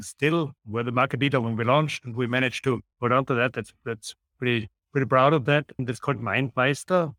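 A man's voice: mid-range voice, fairly bright tone, dry recording. A man with an Austrian accent speaking conversationally as a founder being interviewed. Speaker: interview guest, company founder and CEO.

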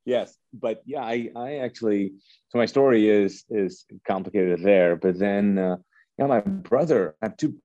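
A middle-aged man from Kuwait whose first language is Urdu, a pastor telling his story in a casual interview, lively and often restarting sentences. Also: lowish voice, measured pace, dry recording, dull audio. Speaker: pastor, guest.

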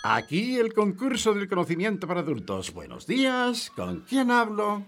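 An actor playing a showy, formal and polite radio quiz show host in a comic radio play. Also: male voice, high-pitched, big pitch swings, moderate pace, very noisy. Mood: showy, announcing. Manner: theatrical, formal announcing with polite interjections. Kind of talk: comic radio theatre, announcer patter.

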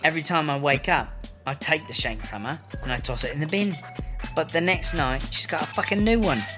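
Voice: medium pitch, brisk, lightly acted. Performer as a wry British woman inmate, music behind. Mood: uneasy, exasperated. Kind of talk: serialized comedy audio drama.

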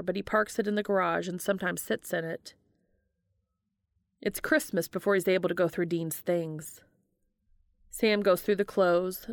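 A woman's voice: fairly low pitch, dry recording, studio quality. A woman reading scripted fiction aloud as an audiobook narrator. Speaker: fan fiction audiobook reader.

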